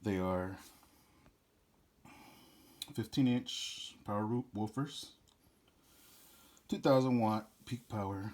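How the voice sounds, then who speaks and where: A man's voice: lowish voice, slow, pauses often, a little mellow. A man giving a casual, plain-spoken product unboxing in the informal way of a working DJ presenter. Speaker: DJ rental business presenter.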